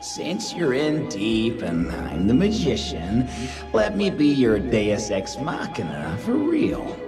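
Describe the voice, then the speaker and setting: A theatrical magician from a noir game, with wildly varied pitch and an unhurried pace. The delivery is showy, and very loud music plays behind it. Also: male voice, wildly swooping pitch, full character voice. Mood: showy, coaxing. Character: theatrical male stage magician speaking from beyond.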